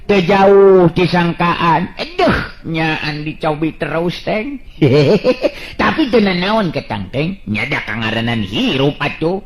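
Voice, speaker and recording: Lively high-voiced Sundanese storyteller man. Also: slow pace. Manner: lively, chuckling often between lines. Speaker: folk storyteller. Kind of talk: Sundanese folk tale telling with voiced characters.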